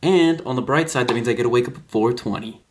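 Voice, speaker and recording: A man's voice: mid-range voice, quick pace, heavy background noise. A young adult man chatting casually to his vlog audience in an informal, slangy way. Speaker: vlogger. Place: rooms at home, car, outdoors.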